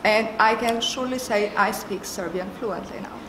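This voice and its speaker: A woman's voice: medium pitch, moderate pace, fairly bright tone. A woman with an Albanian accent telling a personal anecdote with emphasis, as a member of a network. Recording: echoey room, faint background noise.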